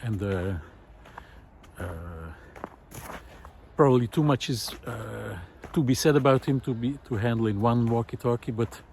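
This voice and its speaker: A man giving an informal, reflective solo talk in a thoughtful, halting way, pausing deliberately for emphasis. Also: medium pitch, vocal fry, darkish tone, slight background noise. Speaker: host of a personal audio series.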